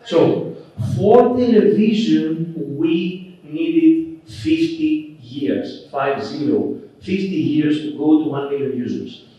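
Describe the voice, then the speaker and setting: A man with a Greek accent giving a relaxed, conversational conference keynote, dotted with "okay" and "uh". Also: fairly high pitch, slow pace. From Greece. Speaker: keynote speaker. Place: conference hall. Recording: roomy, distant, dull hall recording.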